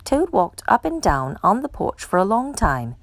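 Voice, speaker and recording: A woman's voice: medium pitch, sing-song, moderate pace, no room sound. A woman narrating a children's storybook as a read-aloud for storytime. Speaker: storytime reader.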